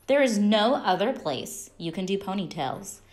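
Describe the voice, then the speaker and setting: Woman as a patient mother with lively intonation. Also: mid-range voice, unhurried. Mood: puzzled, firm. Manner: questioning, matter-of-fact. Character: patient, puzzled storybook mother of a young girl.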